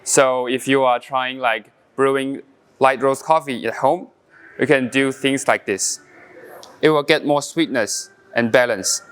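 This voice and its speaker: Taiwanese barista, mid-pitched and clean-toned, relaxed demo delivery with pauses and fillers. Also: male voice, clean tone. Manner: halting non-native English with frequent fillers, explaining step by step. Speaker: coffee brewing champion. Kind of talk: casual brewing demonstration.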